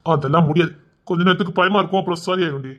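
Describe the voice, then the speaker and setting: Performer acting stubborn young character, rapid, forceful bright tone, threatening, insistent, amateur drama. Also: mid-range voice, muffled recording, lightly acted. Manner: insistent, badgering, calling out.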